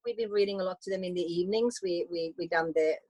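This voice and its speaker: A woman's voice: on the low side, fast talker, a little mellow, no room sound. A woman from Finland, a Swedish-speaking Finn, chatting casually as a guest about homeschooling, often restarting her sentences. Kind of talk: casual conversation.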